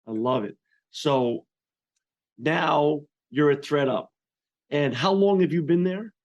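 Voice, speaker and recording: A man's voice: a little high, slow, highs cut off. A man hosting an interview podcast remotely, upbeat and effusive as he welcomes and questions his guest. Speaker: podcast host.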